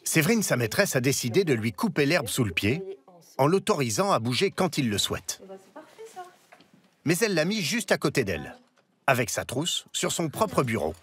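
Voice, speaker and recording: Lively male documentary narrator. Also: a little high, moderate pace. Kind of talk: scripted voice-over narration. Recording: voice-over with faint music.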